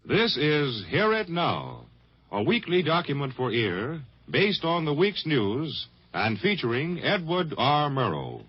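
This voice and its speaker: A man's voice: fairly high pitch, huge pitch swings, slow pace, husky. An American man announcing a 1950s radio program in a solemn, declamatory, dramatic style. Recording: dull vintage 1950s radio broadcast. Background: music.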